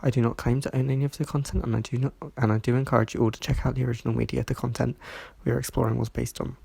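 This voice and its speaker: A reviewer reading a scripted review in a measured way, sounding stuffy from hay fever. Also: medium pitch, level tone, creaky, mellow, breathy edge, no echo. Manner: measured, reads from a script with small slips. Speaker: video reviewer.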